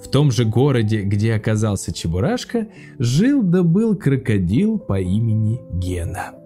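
A man, an actor, narrating a children's fairy tale in a lively, expressive way. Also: mid-range voice, a little slow, faint music behind. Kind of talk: scripted storybook narration.

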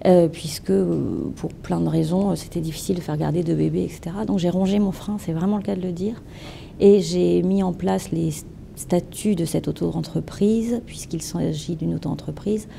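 A woman in her thirties answering interview questions at a trade fair stand, lively and playful with words, gesturing as she talks. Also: fairly low pitch, gravelly, a little hiss or hum. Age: 30s. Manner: animated, gestures with hands, playful wordplay. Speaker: entrepreneur interviewee. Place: event stand with branded backdrop, indoors.

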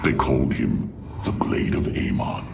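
An actor playing an arrogant, menacing alien warlord in a video game, haughty and theatrical, with a very deep, gravelly voice. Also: male voice, unhurried, background noise, close to an everyday voice. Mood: cruel relish. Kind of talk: dramatic video game cutscene acting. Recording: loud music under the voice.

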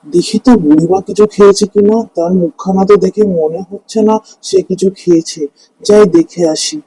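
A man's voice: sits high, steady tone, fairly quick, warm tone, close to an everyday voice. A man voicing a kind, hard-working poor farmer in a cartoon fairy tale, speaking in an earnest, coaxing way. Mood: caring, reassuring. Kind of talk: Bengali animated fairy-tale dialogue.

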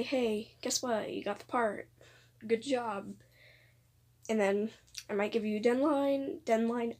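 A woman's voice: on the high side, a little mellow. A young woman making a casual, rambling announcement to viewers, hesitant and repeating herself, with occasional voice cracks. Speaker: video creator announcing voice auditions. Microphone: phone voice recorder app.

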